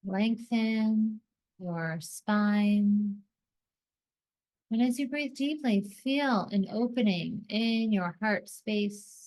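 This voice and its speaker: A woman hosting a guided meditation, speaking in deliberate short phrases with pauses between them, as scripted soothing narration. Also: mid-range voice, darkish tone, low-quality recording.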